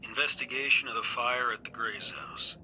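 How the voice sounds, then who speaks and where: A man's voice: mid-range voice, fairly even intonation, normal speed, bright, forceful tone, slight background noise, rough recording. A man playing a matter-of-fact investigator dictating an official case report in a game cutscene. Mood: sober, official. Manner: clipped, official.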